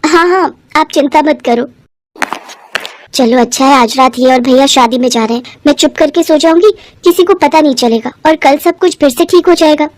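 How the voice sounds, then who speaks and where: Woman as a meek, high-voiced young daughter-in-law. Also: moderate pace, close to an everyday voice. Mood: anxious.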